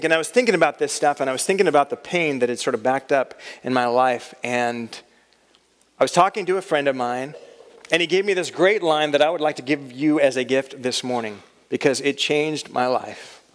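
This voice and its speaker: A man's voice: on the high side, normal speed. Joking man preaching in a reverberant hall. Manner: conversational, self-deprecating humor. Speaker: chapel speaker. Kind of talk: chapel talk.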